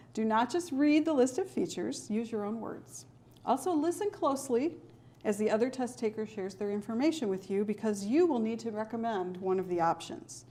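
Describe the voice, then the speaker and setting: A middle-aged woman examiner calmly explaining the stages of a spoken English test in a clear, measured way. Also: fairly high pitch. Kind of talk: scripted test instructions.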